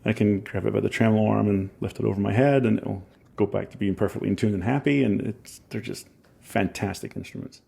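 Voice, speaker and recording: A man in his forties with a Scottish accent softened by years in Ohio, a musician chatting in an interview and telling rambling, enthusiastic stories with self-mocking laughs. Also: fairly low pitch, brisk, creaky, slightly rough. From Scotland. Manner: rambling, enthusiastic storyteller who laughs at himself. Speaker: musician guest. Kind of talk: casual interview chat.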